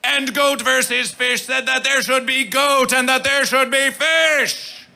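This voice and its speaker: A man working as a festival emcee, declaiming grandiose mock-prophetic hype from the stage in a drawn-out, theatrical way. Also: extremely high pitch, fairly even intonation, vocal fry, pushed and bright.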